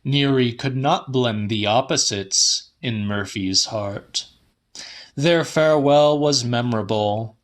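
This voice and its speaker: Male narrator, mid-range pitch, slow measured pace, slightly dramatic literary reading. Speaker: audiobook narrator.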